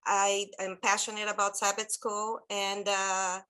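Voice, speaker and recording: A woman with a Brazilian accent speaks through a laptop video call, leading a church training session. Her voice is bright and forward, at a middle pitch. She talks in a chatty, loose way, full of fillers and restarts. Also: little pitch movement, unhurried, low-quality recording. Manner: warm, chatty, loose phrasing with many fillers. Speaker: children's ministry trainer. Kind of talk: online training presentation.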